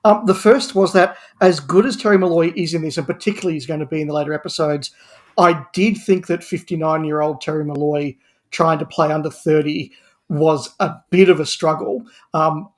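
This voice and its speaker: A man in a casual podcast discussion, talking as a guest panellist and telling things in an animated way. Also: fairly high pitch, fairly quick.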